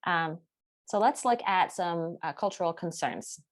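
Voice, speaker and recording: A woman presenting a class project in a steady, explanatory way, partly read from notes. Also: lowish voice, measured pace, dry recording, studio quality. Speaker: student presenter.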